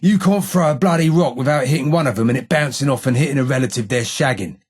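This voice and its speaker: Man acting a husky, sardonic old London gangster ghoul. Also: mid-range voice, fast talker, natural voice. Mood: sardonic, dismissive. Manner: salty, sarcastic Cockney slang. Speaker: video game character. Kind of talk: video game dialogue acting.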